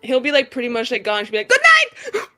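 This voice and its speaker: Woman player describing a game scene off the cuff, bright voice, lots of likes and pauses, music under it. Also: on the high side, fairly even intonation. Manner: halting, heavy use of filler words. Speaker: tabletop roleplay player. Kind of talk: casual improvised scene description.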